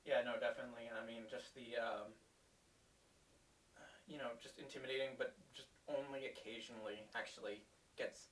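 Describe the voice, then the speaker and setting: A man's voice: medium pitch, steady tone, moderate pace, fairly bright tone. A man hosting a livestream, chatting casually and hesitantly with plenty of ums. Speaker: livestream host. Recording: roomy, distant mic with hiss.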